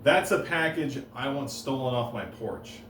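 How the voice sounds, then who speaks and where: A man doing deadpan one-liner stand-up comedy on a stage, halting and restarting between jokes. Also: mid-range voice, noisy background. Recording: roomy live room, heard from a distance.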